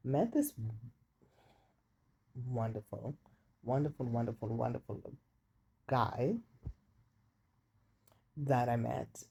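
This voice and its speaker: A woman with a deep voice casually telling a personal story, chatty and confiding, often repeating words for emphasis. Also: warm tone, breathy edge. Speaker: storytime vlogger. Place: indoors while rain falls outside. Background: light rain.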